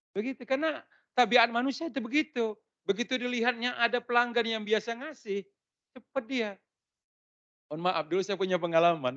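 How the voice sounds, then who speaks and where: A male preacher from Lampung, Indonesia, giving a religious talk with lively anecdotes and frequent laughter. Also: on the high side. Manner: lively storytelling, laughs often. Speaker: Islamic preacher. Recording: dull lo-fi sound.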